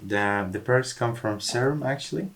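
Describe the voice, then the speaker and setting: A man's voice: mid-range voice, moderate pace. A man music producer casually walking through how a track was made. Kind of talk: casual studio walkthrough.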